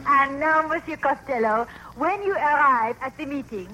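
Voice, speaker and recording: An actress playing a theatrical French language teacher in a 1940s radio comedy, with an exaggerated put-on French accent. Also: female voice, sits high, normal speed, loud music behind the voice, close to an everyday voice, rough recording. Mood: coquettish. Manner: exaggerated, coquettish. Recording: hissy old radio broadcast with audience.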